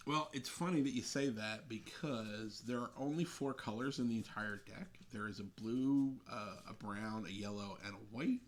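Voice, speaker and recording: A man's voice: fairly low pitch, normal speed, few pauses. A man who designs games, chatting casually in an interview as he explains one. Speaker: game designer being interviewed. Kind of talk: casual chat explaining a game.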